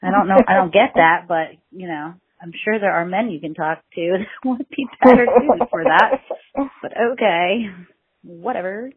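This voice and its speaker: A woman's voice: on the low side, moderate pace, husky. A woman hosting a casual interview podcast, teasing and laughing often. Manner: giggly, teasing. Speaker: podcast host. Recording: tinny phone-line sound.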